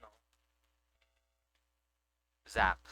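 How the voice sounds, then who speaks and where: A man with a Filipino accent commentating on a game live. His voice is bright and forceful, and he chats casually, moving freely between English and Tagalog. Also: extremely slow. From Philippines. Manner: chatty, mixes English and Tagalog. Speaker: VTuber streamer.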